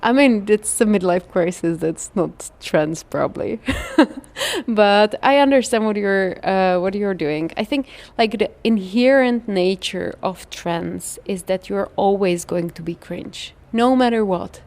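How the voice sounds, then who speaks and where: A woman's voice: mid-range voice, a little slow, a little mellow. Czech-accented woman, casual and chatty. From Czech Republic. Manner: casual, chatty, frequent "like" and "you know". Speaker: interview guest, trend forecaster. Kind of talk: conversational interview, explaining.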